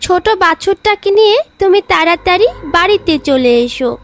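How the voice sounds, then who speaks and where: A woman's voice: very high-pitched, lively intonation, moderate pace. A woman voicing an earnest, polite young village girl in a cartoon, with faint music behind.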